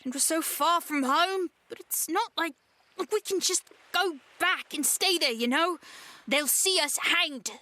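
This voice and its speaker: A woman's voice: very high voice, measured pace, bright, forceful tone, exaggerated voice. A woman playing an anxious, cautious child runaway in an audio drama, emphatic and easily exasperated. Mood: weary, worried.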